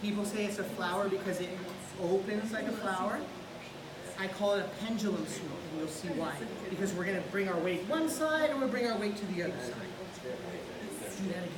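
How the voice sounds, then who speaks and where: A woman's voice: mid-range voice, a little fast, over a noisy crowd. A woman jiu-jitsu instructor coaching a student step by step while grappling on the mat. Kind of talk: hands-on technique teaching. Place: large indoor gym with mats. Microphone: camera far across the room. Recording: echoey gym from far off, noisy.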